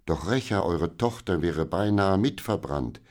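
A man voicing an excitable woman companion in a children's audiobook, speaking in a hurried, urgent and pleading way. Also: low-pitched. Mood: excited. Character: excitable middle-aged woman companion, breathless talker. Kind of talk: audiobook character voice.

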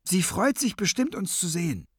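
A man acts out a good-natured, slow-witted zombie servant in a storybook. The voice sits high and swings up and down, earnest and naive, always keen to please. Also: very animated, husky, no echo, clean studio sound, heavily acted.

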